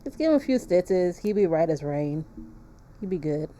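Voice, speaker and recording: A woman's voice: fairly low pitch, very animated, normal speed, warm tone. A woman livestreaming a game, chatting casually in a playful, joking manner. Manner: playful, joking, crude humor. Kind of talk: casual game-stream chat and reading aloud.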